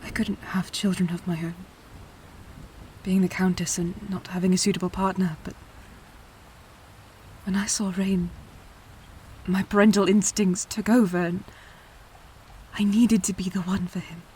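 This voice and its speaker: Audio drama countess, female actor: anguished adoptive mother, hushed confessional delivery, medium pitch, faintly noisy ambience. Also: little pitch movement, measured pace, long pauses, close to an everyday voice. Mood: tender, wistful.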